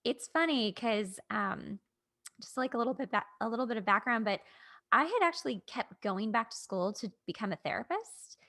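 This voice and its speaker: Creaky-voiced woman chatting casually. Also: fairly high pitch, lively intonation, normal speed, bright, forceful tone. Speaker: podcast host and aspiring coach. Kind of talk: casual chat.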